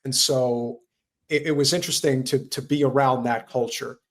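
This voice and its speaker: Male co-host, mid-pitched, earnest, halting podcast chatter. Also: fairly even intonation. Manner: earnest, halting, repeats himself. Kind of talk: casual political chat.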